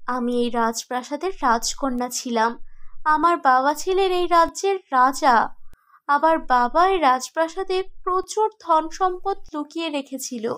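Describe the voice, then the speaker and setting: A woman playing a gentle, wistful princess ghost in a cartoon, speaking earnestly and solemnly. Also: high-pitched, normal speed. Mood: solemn, relieved. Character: gentle ghost princess, seven hundred years dead, dutiful, wistful. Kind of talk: cartoon voice acting.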